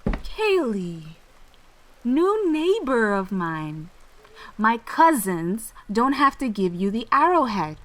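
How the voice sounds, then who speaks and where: A protective store owner who speaks slowly and firmly. Her voice is lively and swoops, with faint clicks behind it. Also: on the high side, a little hiss or hum, heavily acted. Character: protective store-owning cousin. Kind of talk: audio drama acting.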